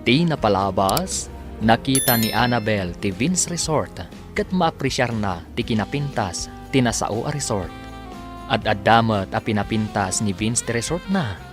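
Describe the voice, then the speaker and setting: A man announces a radio drama with an Ilocano Filipino accent, over clear music. His delivery is slow and dramatic, with big sweeps in pitch. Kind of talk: dramatic scripted narration.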